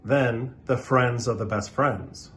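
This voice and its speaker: A man reading a children's story aloud in an animated, expressive way, with dramatic pauses. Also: medium pitch, slight background noise.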